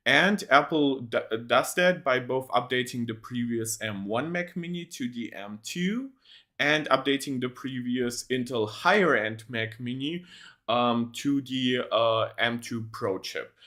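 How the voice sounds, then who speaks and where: A man's voice: mid-range voice, expressive, unhurried, bright, forceful tone. A young man hosting a casual tech reaction livestream from a home setup on a desk mic, rambling with many ums and restarts.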